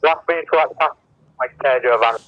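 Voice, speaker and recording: A British man comes through a dull, band-limited two-way radio with no room sound. He talks quickly and casually, like a road works traffic controller relaying vehicles. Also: mid-range voice, no room sound. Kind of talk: casual work radio chatter.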